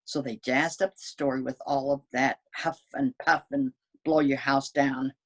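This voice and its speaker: Woman as a low, husky, wily storybook wolf. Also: steady tone, unhurried. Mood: self-justifying. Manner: folksy, self-justifying storytelling. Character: wily male wolf pleading his innocence. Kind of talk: storybook character narration.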